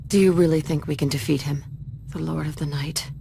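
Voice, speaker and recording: A woman's voice: low-pitched, steady tone, brisk, dark tone. A woman playing a grave, resolute warrior burdened by hidden despair in a video game cutscene. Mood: bitter. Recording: clearly noisy game audio.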